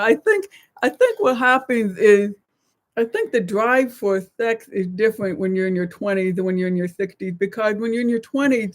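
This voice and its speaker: An American woman in her sixties co-hosting a live show with casual, reflective chat. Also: on the high side. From United States. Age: 60s.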